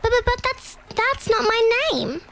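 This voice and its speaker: A woman's voice: very high voice, sing-song, normal speed, vocal fry, noisy background, pitched above the performer's own voice, heavily acted. A woman voicing a tiny, timid, lost young furry creature in a children's story, speaking tentatively. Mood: timid, plaintive. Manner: tentative, hesitant.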